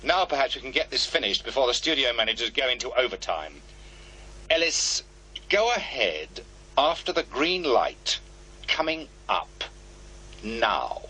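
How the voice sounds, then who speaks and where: An actor playing a bossy, sardonic radio producer who gives dry instructions in an audio drama. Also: male voice, medium pitch, big pitch swings, a little slow, pauses often, sharp tone, breathy edge, heavily acted, low-quality recording. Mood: sardonic, impatient.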